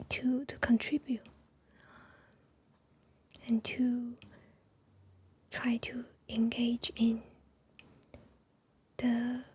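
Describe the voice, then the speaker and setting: A woman whispering gently in an ASMR chat, pausing often with soft fillers, a Chinese speaker talking in English. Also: on the high side, monotone, slightly rough, dry recording. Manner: soft unvoiced whisper with many pauses and fillers. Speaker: ASMR creator.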